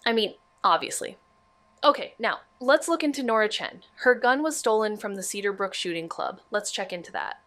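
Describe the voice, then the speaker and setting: A woman's voice: medium pitch, animated, normal speed, a bit bright, dry recording, lightly acted. A woman playing a level-headed, sardonic young amateur detective in an audio drama, speaking casually and matter-of-factly. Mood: focused, dry. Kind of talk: scripted audio drama dialogue.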